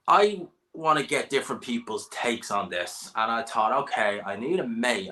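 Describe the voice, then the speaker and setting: A man's voice: medium pitch, bright, forceful tone. A man hosting a live boxing chat stream, joking and bantering with a guest. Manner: jokey, banter-heavy, laughs often. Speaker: boxing channel host.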